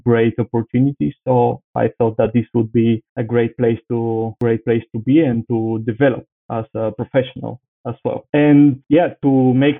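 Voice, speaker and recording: A man in his thirties from Bulgaria with a Bulgarian accent, a podcast guest telling his story earnestly with frequent "uh" pauses. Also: mid-range voice, level tone, creaky. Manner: earnest, many "uh" pauses, careful phrasing. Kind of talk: conversational interview. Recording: tinny phone-like line.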